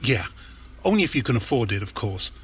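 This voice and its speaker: An actor playing an earnest, hesitant resident who speaks apologetically in an audio drama. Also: male voice, medium pitch, big pitch swings, brisk, breathy edge. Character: earnest, hesitant charity-minded male resident.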